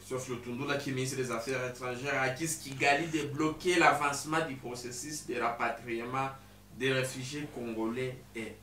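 A man's voice: medium pitch, pushed and bright. A male interviewer asking probing, pointed follow-up questions in a political studio interview. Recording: roomy, distant mic with faint hum.

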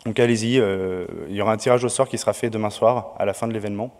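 A man's voice: on the low side, fairly even intonation, fast talker, a little mellow. A man organizing a conference, giving opening announcements from a podium with many hesitations. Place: large lecture hall. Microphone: podium mic. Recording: reverberant hall.

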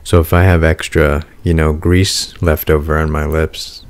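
A man's voice: a bass voice, level tone, unhurried, smooth. A man co-hosting a podcast in casual, flirty ASMR chat, teasing and joking, close to the microphone. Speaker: podcast co-host.

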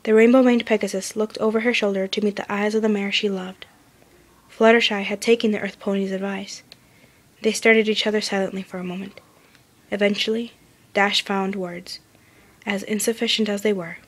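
A woman's voice: mid-range voice, little pitch movement, normal speed. A woman reading fan fiction aloud as scripted story narration.

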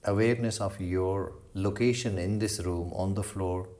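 A man leading a guided meditation as a yoga instructor, giving slow, measured instructions with long pauses. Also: deep voice, steady tone, mellow.

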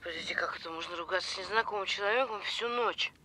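An actress playing a suspicious, insistent and nervy wronged wife in an audio drama phone dialogue. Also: female voice, mid-range voice, fast, bright, forceful tone, dry recording. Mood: anxious, doubtful.

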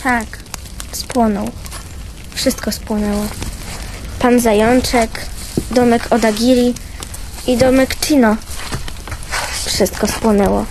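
An actress playing a little daughter in a radio drama, speaking in a simple childlike way. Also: female voice, on the high side, steady tone, takes it slowly, long pauses, noisy background. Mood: innocent. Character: little daughter, delighted her sight has returned.

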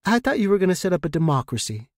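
A man's voice: high voice, very animated, racing, no echo, clean studio sound, voice pushed up. A man voicing a teasing, playful female space freighter captain in a story. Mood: flirty teasing.

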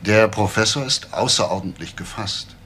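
A male actor plays a police investigator in a crime radio play. He is polite yet probing, with a lowish voice. Slight noise is audible behind him. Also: moderate pace, a bit bright, close to an everyday voice. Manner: polite, questioning. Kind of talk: German crime radio drama acting.

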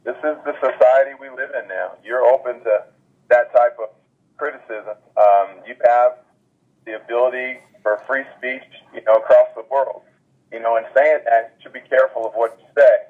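Raspy sports guy chatting on a phone interview, tinny line. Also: mid-range voice, steady tone, normal speed.

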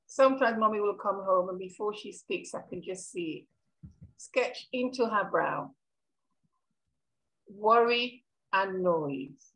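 A middle-aged woman reading a gentle, reflective Jamaican daughter's monologue into a headset. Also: mid-range voice, low-quality recording. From Caribbean. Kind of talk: rehearsed play monologue reading. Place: kitchen at home, video call.

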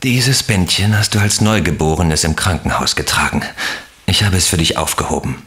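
An actor playing a tender, proud middle-aged guardian in a German audio drama. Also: male voice, low-pitched, moderate pace, a bit bright.

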